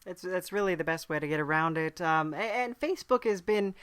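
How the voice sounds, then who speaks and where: Female host, deep register, casual comedic interview, playful and meandering delivery, dry close sound. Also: expressive, a little fast, a bit bright, clean tone, dry recording. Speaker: podcast host.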